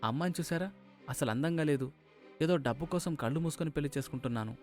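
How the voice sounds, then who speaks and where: A man's voice: on the high side, fast, darkish tone, faint music behind, natural voice. A man voicing a spoiled, greedy young bridegroom son with a petulant, self-centred manner. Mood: irritated.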